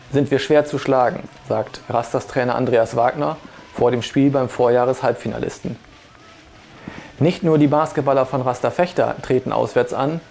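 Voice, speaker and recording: A man in his thirties delivers a scripted sports report. His voice has a lot of creak and sits at a middle pitch. He speaks as a sports presenter. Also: a little mellow. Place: indoor video studio. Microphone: lapel mic.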